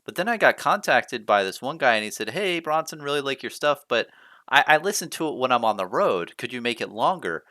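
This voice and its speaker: A man's voice: medium pitch, big pitch swings, brisk, sharp tone, no room sound, clean studio sound. A man hosting a casual talk show, chatting loosely with lots of "you know" fillers. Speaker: podcast host. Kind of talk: casual chat.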